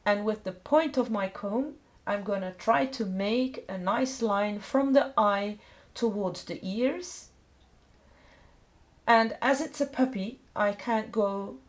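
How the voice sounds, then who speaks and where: A middle-aged woman from Belgium speaks as a non-native with a Belgian accent. She explains a hands-on demonstration at a slow, measured pace, in simple, informal phrases. Her pitch sits in the middle for a woman. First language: not English. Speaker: dog groomer presenting a tutorial.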